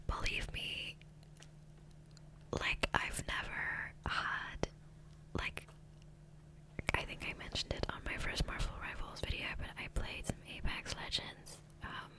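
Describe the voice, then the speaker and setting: Slow, whispering ASMR woman. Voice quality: soft breathy unvoiced whisper. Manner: rambling, gentle, unhurried. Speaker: ASMR gaming content creator and host. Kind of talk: whispered ASMR ramble, casual chat. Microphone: close USB condenser microphone.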